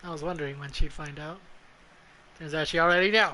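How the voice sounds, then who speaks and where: Young woman streamer reacting to a game, low but bright voice, sweary slangy chat. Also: quick pace, slight background noise. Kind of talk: casual playthrough commentary.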